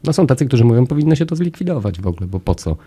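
A man's voice: mid-range voice, very animated, very fast, warm tone, husky. A middle-aged man hosting a radio interview, asking long, rambling questions full of comparisons, at a studio desk mic in a small radio studio. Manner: long, discursive questions with analogies. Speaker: radio host. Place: small radio studio with orange acoustic panels. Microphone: studio mic on a desk arm, wearing headphones.

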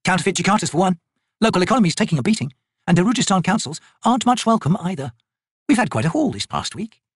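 A tough woman soldier, acted by a man, speaking high and husky with a commanding, blunt edge. She teases as she orders, and her pitch moves freely in this audiobook reading. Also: sing-song, quick pace, no echo, studio quality, full character voice. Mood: brisk, wry. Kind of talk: audiobook character voice.

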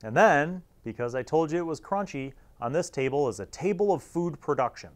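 A man's voice: fairly high pitch, expressive, fairly quick. A man in his forties talking casually and wryly to camera as a host reviewing things, on a lapel mic in a small studio. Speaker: host reviewing old gaming magazines. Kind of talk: casual, informal commentary to camera.

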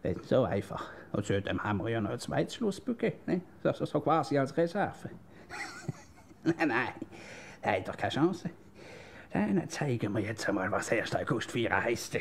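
A male actor playing a blustering, know-it-all husband in a comic radio play, with a Swiss German accent and excitable, repetitive delivery. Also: medium pitch, normal speed, creaky, slight background noise. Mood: scheming, commanding. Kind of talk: comedic radio play dialogue.

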